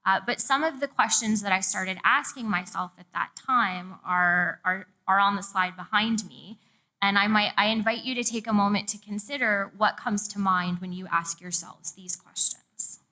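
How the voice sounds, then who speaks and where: A woman's voice: medium pitch, moderate pace, bright, forceful tone, poor audio. A middle-aged woman from the American South gives a prepared public lecture as a professor, with personal asides. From Charleston, South Carolina, US. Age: 40s. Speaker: university professor, presenter. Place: public talk in a museum event room.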